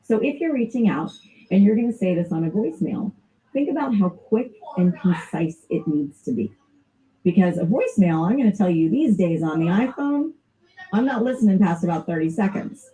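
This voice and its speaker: Relaxed Southern woman teaching sales. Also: mid-range voice. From American South. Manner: casual, informal, laughs often. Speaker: sales trainer. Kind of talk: recorded training lesson. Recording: dull lo-fi recording.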